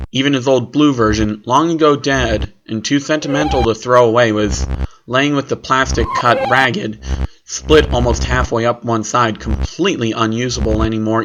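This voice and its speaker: A man reading a scripted first-person horror story as its narrator. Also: mid-range voice. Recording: clearly noisy recording with clicks.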